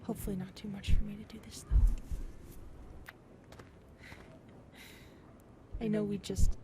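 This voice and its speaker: A woman voicing an affectionate, playful alien lover in an ASMR roleplay, speaking softly and intimately and often dropping into breathy whispers. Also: medium pitch, level tone, fast talker, background noise, close to an everyday voice. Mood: gentle, excited. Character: affectionate, playful adult female alien lover, tall otherworldly species.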